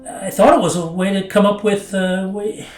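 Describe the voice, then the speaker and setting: A man playing an earnest, easily rattled pilot in an audio drama, halting and indignant in his delivery. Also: high-pitched, fairly even intonation, echoey room, soft background music, close to an everyday voice. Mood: confused, insistent. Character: defensive, flustered, earnest male pilot. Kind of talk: naturalistic audio drama dialogue.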